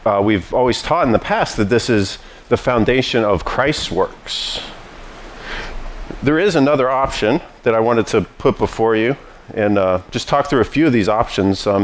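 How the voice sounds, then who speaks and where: A man's voice: lowish voice, slight background noise. A man preaching a sermon as pastor, teaching in a conversational way with frequent restarts.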